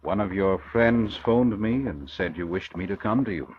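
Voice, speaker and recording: Solemn, soft-spoken prison chaplain, bass voice, vintage radio drama with faint noise. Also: male voice, expressive, normal speed, muffled recording. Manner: grave, hushed. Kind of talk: 1940s radio drama acting.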